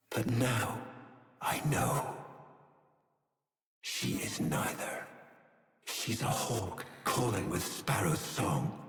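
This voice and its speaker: An actor playing a bitter, scheming aristocratic villain in a video game, speaking in poetic, grandiose phrases with dramatic pauses and a breathy tone that often drops toward a whisper. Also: male voice, mid-range voice, heavily acted. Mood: brooding, venomous. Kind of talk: theatrical scripted video game dialogue. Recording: echoey, long hall-like reverb.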